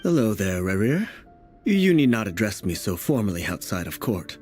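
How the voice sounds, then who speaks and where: A dignified court prophet from a fantasy game, low in pitch and lively in intonation. He is courteous and formal, composed even off duty. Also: normal speed, soft background music. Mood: genial, composed. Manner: formal, polite. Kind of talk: video game cutscene voice acting.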